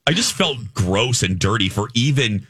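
Man, radio co-host, low voice, dry close mic, animated chat. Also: moderate pace, husky, no room sound. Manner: animated, swoops high when emphatic. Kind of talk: casual morning radio chat.

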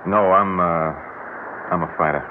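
An actor playing an easygoing young boxer from the Bronx in an old radio drama, with a hesitant, plain way of talking. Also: male voice, deep voice, steady tone, background noise. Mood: relaxed, teasing. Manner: hesitant, slow-starting, plain-spoken. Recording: tinny old radio broadcast, music behind.

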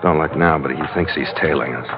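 A man playing a gruff, dry-witted ship's skipper and narrator in an old-time radio adventure, with a wry, clipped delivery. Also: extremely low pitch, fairly quick, background noise. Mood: wry, guarded. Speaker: lead actor and narrator. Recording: muffled vintage radio recording with music.

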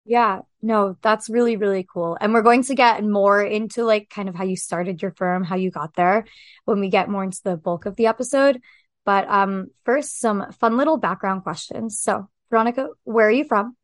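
A woman's voice: medium pitch, normal speed, no echo, clean studio sound. A woman hosting a podcast, chatting in an upbeat, casual way and affirming her guest often. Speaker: podcast host. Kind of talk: casual interview chat.

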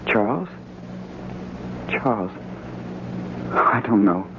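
An actor playing a bewildered, anxious hospital patient in a 1940s radio drama. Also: male voice, lowish voice, animated, drawn-out words, full character voice. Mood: wondering. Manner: anxious, questioning. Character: bewildered male hospital patient with lost memory. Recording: noisy, tinny old radio recording.

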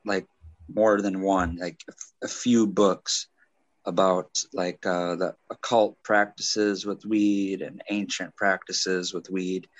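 Co-host: man, Wisconsin accent, very low voice, dry close sound, long-winded and profane. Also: fairly bright tone, dry recording. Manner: rambling, filler-heavy, profane. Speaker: podcast co-host. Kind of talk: casual chat.

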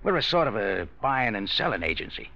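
A ruthless radio-play gangster, sly and menacing in slangy American talk. His voice is low, gravelly and sharp-edged. The sound is that of a muffled old radio recording. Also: big pitch swings, brisk, exaggerated voice. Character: ruthless, smug male gangster making a shakedown. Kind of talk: 1940s radio crime acting.

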